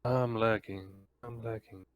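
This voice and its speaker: A man's voice: lowish voice, steady tone, unhurried, no room sound. A man talking casually and bluntly as a player in an online tabletop game. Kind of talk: casual chat, blunt swearing.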